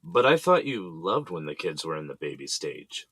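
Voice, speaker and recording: A man's voice: rumbling low, very animated, moderate pace, a bit bright, husky, dry recording, exaggerated voice. A man playing an easygoing, affectionate husband and father, playful and coaxing, in a naturalistic audio drama.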